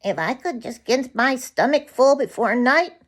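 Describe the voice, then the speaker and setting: Storybook nuthatch, played by a woman: famished, grateful little bird, raised pitch, earnest fretful emphasis. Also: lively intonation, a little slow. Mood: earnest, relieved. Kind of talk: children's storybook character voice.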